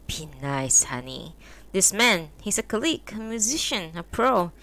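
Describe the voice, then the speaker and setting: A woman voicing a gentle, weary middle-aged man who speaks low, soft and placating. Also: big pitch swings, moderate pace.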